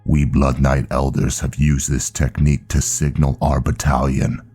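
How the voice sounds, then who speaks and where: A man voicing a solemn, commanding vampire blood knight elder in a fantasy roleplay, with a gravelly, grave, measured and formal delivery. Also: extremely low pitch, little pitch movement, warm tone, soft background music, full character voice. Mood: brooding, resolute. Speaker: character narrator in a roleplay story. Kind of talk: dramatic scripted fantasy roleplay.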